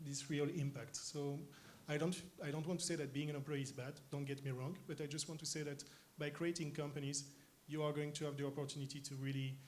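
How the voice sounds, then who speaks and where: French-accented young man, higher pitched, conference guest, faint hiss on the track. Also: little pitch movement, darkish tone. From France. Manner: thoughtful, pauses often with uh and um. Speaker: interviewed guest, company co-founder. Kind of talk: conference stage interview. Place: conference arena stage.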